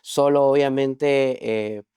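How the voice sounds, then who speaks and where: A man's voice: mid-range voice, fairly even intonation, takes it slowly, dark tone, smooth, no room sound, clean studio sound. A man hosting a podcast, asking questions in an upbeat, encouraging way. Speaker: podcast host. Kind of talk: interview hosting.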